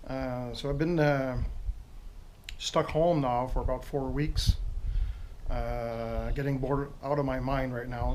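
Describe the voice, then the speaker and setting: A man's voice: medium pitch, a little mellow, slight background noise, low-quality recording. A man in his fifties from Quebec with a strong French Canadian accent, French his first language, rambling casually through a home video with many fillers and restarts. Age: 50s. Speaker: retired military motorcycle owner.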